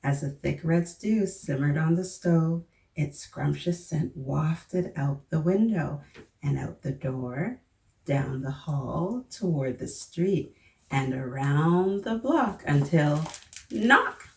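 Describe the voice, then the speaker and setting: A woman reads aloud to young children in a low voice with lively swings. She takes her time and asks encouraging questions as host. The room is echoey, with the mic at a distance and faint noise behind. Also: takes it slowly, vocal fry.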